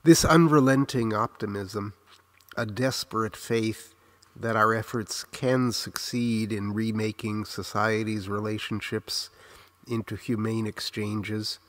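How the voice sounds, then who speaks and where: Memoir narrator: American male, 60s, slightly deep, takes his time, earnest scripted reflection with a literary, measured delivery. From Los Angeles, United States.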